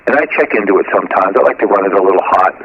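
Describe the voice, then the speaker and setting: Low-voiced man on muffled two-way radio. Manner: halting, methodical explaining. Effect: two-way radio transmission. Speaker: amateur radio operator. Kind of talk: technical casual radio chat. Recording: muffled shortwave radio audio.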